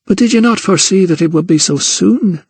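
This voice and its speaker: A man voicing an earnest, sharp-witted young woman who presses with pointed questions. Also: on the high side, animated, fairly quick, slightly rough, dry recording, voice pushed up. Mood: questioning. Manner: pleading, probing questions.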